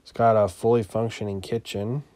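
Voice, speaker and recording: A man giving a casual, unscripted property walkthrough as a landlord, in a matter-of-fact, halting way that trails off. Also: on the low side, flat delivery, dark tone, no echo. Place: inside an empty mobile home, starting on the porch.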